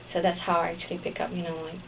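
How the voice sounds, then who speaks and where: A woman's voice: lowish voice, completely flat delivery, racing, gravelly, low-quality recording. A young woman from Singapore, a pastry chef, answering interview questions casually with many fillers and little laughs. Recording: radio studio with faint noise.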